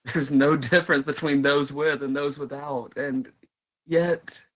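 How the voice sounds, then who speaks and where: An actor playing a brooding, yearning artist in a dramatic stage monologue, halting and searching, repeating words for emphasis. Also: male voice, fairly high pitch, steady tone, a bit bright. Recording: dull lo-fi conference call audio.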